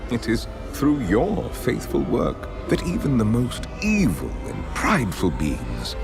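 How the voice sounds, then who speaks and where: An actor playing a grandiose aristocratic vampire lord in a video game, speaking in measured, theatrical, pompous oratory. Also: male voice, a bass voice, vocal fry, heavily acted. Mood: solemn, stately. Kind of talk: dramatic scripted game monologue.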